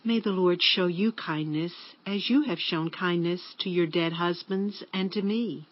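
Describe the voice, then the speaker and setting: A woman playing a grieving, bitter older widowed mother-in-law in a dramatized scripture reading, speaking with slow, sorrowful emphasis. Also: fairly low pitch. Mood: gentle, firm. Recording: dull lo-fi audio with music bed.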